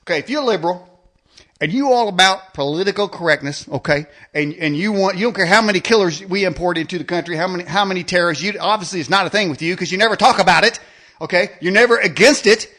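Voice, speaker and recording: Male talk host, high forceful voice, improvised rant, sarcastic and repetitive, stumbling delivery. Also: fast talker. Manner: stammering, repeats phrases, sarcastic, colloquial. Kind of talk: improvised rant monologue with mocking role-play.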